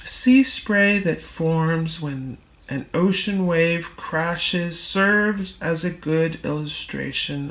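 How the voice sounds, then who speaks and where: A woman reading a spiritual text aloud in audiobook style, with measured, deliberate phrasing and pauses. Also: deep voice, creaky, reverberant. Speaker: reader.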